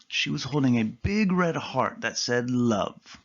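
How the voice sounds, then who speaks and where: A man's voice: medium pitch, takes it slowly. Guy reading a kids book, bouncy sing-song voice, muffled lo-fi sound. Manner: expressive, lively storytelling. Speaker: storyteller. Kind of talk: reading a children's picture book aloud.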